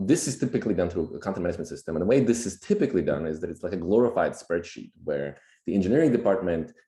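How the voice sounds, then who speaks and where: A man with a slightly raspy voice from a recent cold, explaining things plainly and casually as a podcast guest. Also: low-pitched, mellow. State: just getting over a cold. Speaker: podcast guest, tech executive. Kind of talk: casual explanatory tech talk. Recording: roomy, echoey sound.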